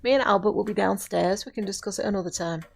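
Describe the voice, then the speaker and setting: Woman acting a creaky-voiced, caring old witch. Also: mid-range voice. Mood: brisk, stern. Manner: earnest, measured, formal diction. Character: centuries-old witch, firm, caring and practical. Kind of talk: audio drama acting.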